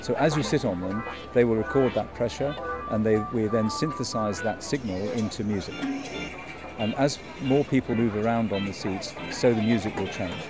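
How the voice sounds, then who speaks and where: A man's voice: fairly low pitch, normal speed, music in the background. An older man, a university researcher, explaining a project earnestly on a busy street, asking rhetorical questions. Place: outdoors on a busy city street.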